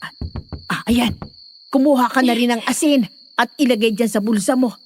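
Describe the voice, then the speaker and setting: Woman playing an excitable, high-voiced superstitious nanny. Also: normal speed, faint music behind, lightly acted. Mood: urgent, bossy. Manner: exclaiming, bossy, urgent. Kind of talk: Filipino audio horror drama acting.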